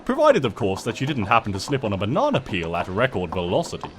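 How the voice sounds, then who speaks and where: A man's voice: on the low side, wildly swooping pitch, fast talker, a little hiss or hum. A man narrating a comic story in a theatrical, smug and wry manner.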